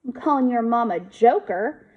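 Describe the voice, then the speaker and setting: A woman's voice: on the high side, animated, moderate pace, lo-fi sound. A woman voicing a cocky, teasing third-grade boy in a storybook, with a blunt, mocking, slangy way of talking.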